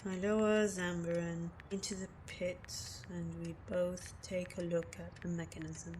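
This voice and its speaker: A woman acting a laid-back, deadpan tabletop rogue player in a comedy audio drama. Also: fairly low pitch, unhurried, natural voice. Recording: noisy background ambience.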